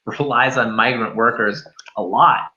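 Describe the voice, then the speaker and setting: A man chatting casually about films as a podcast host, in a hesitant, halting manner. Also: mid-range voice, pushed and bright, dull audio. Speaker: film review podcast host. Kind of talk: casual movie discussion.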